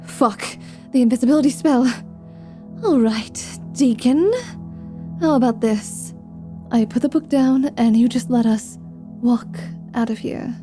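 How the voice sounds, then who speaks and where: A sardonic, flirty female vampire acted by a woman in a fantasy audio roleplay. Her tone is very mellow and dark, though pitched a little high, and she takes her time. She is clever, impatient and quick to swear. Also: faint music behind, natural voice. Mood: defiant, sardonic. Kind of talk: ASMR fantasy roleplay acting.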